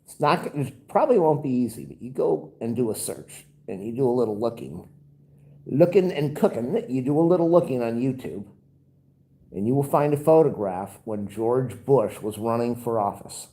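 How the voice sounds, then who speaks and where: A man's voice: medium pitch, animated, moderate pace, mellow, slightly rough. A man with a nasal, stuffed-up voice, rambling and grumbling through a casual livestream. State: stuffed-up nose. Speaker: livestream host.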